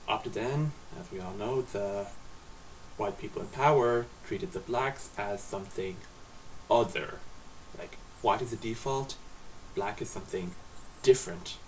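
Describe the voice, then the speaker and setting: An Irish man vlogging late at night, tired and slightly slurred, rambling conversationally with restarts and acted asides. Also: lowish voice. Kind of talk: casual spoken video essay. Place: indoors at home at night. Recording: distant mic with faint hiss.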